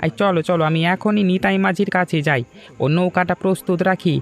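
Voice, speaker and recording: A man voicing a helpful, steady village uncle in an animated story, advising and reassuring his neighbours. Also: sits high, fairly quick, soft background music. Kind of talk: Bengali animated story dialogue.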